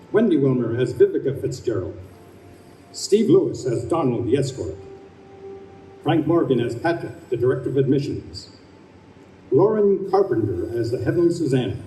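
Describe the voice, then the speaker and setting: A man's voice: a little high, warm tone. A man working as a formal announcer, reading scripted credits in an old-time radio style. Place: convention hall stage. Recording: echoey hall, distant microphone, faint music.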